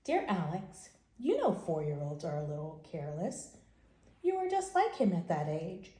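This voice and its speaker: A woman's voice: fairly low pitch, huge pitch swings, normal speed, dark tone, reverberant. A woman voicing a patient, reasonable father reading out his letters to his son in a children's storybook. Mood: reasoning.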